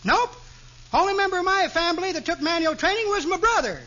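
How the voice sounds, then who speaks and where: A man's voice: very high voice, lively intonation, fast. An actor playing a cheerful elderly man who tells rambling tall tales in a folksy drawl, in 1940s radio comedy style. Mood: folksy, amiable. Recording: muffled noisy vintage radio recording.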